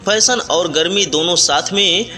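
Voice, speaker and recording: A man reading a scripted market sales announcement as a street loudspeaker announcer, plainly urging buyers in a repetitive pitch. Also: a little slow. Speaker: street loudspeaker announcer for a winter clothing seller. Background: music.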